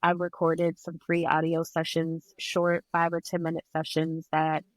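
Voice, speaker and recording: A woman's voice: low-pitched, completely flat delivery, measured pace, no echo. A woman guest on a podcast, a breathwork and yoga instructor, talking in a reflective, earnest way with a slightly congested, nasal sound as she gets over being sick. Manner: reflective, earnest, with frequent "like" fillers. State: recovering from illness. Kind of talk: conversational interview.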